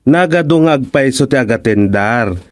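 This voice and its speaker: A man's voice: mid-range voice, animated, normal speed, natural voice. An actor with a Filipino accent playing a teasing, exclaiming village watchman, a man in a radio comedy-drama. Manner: exclaiming, repetitive.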